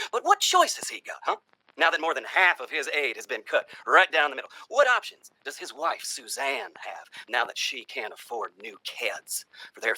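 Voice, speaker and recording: Man playing a sharp, folksy, sinister campaigning politician. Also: on the high side, dry recording, full character voice, poor audio. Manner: folksy, rhetorical, dramatic pauses and hushed asides. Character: folksy, sinister cultist presidential candidate, genial and menacing. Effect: vintage old-time radio treatment. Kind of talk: theatrical campaign stump speech, satirical horror monologue.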